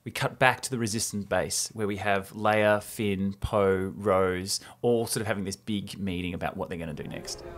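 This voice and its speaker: A man's voice: low-pitched, steady tone, moderate pace, few pauses. A man in his thirties co-hosting a podcast, casually and animatedly recapping a film script. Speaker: podcast co-host. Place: small home studio room indoors. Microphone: close podcast microphone on stand.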